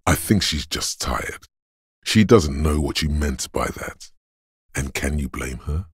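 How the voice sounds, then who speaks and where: An actor voicing the brooding inner voice of a detective's body in a video game, gravelly and husky, speaking gravely and deliberately with theatrical weight. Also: male voice, extremely low pitch, animated, no room sound, studio quality, heavily acted. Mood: grave, measured. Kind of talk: scripted game narration in second person.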